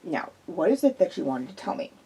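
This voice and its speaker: Lady doing a soothing older guy voice, low, a bit husky, slow and calm. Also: exaggerated voice. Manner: soothing, measured. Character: smooth, soothing middle-aged man, art expert.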